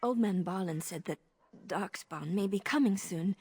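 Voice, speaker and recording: A woman playing a worried, hesitant village woman in a video game, speaking haltingly. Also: mid-range voice, darkish tone, slightly rough, dry recording. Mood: anxious.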